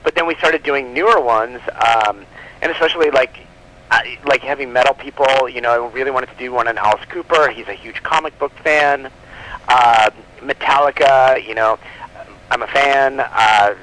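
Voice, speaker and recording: A man's voice: mid-range voice, sharp tone. A man being interviewed over the telephone, talking informally and rambling with frequent asides. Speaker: interview guest, comic book publisher. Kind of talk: casual phone interview. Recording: telephone call.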